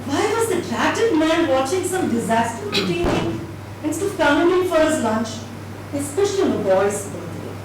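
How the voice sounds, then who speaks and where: High-voiced woman reading in an echoey hall. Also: sing-song, moderate pace. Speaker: reader at a dramatised book reading. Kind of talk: dramatised storybook narration. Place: indoor event hall at a live reading. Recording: distant mic, echoey hall, noisy.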